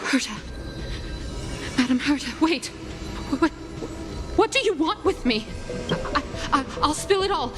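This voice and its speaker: A cowardly sneak thief from a video game in a high, very animated voice. She is flustered and stammering, pleading with breathless melodrama over music. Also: measured pace, pauses often. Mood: frightened pleading.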